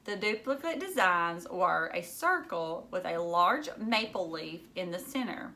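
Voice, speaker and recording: A woman's voice: on the low side, pushed and bright. A woman reading a children's novel aloud expressively, like a teacher narrating to pupils.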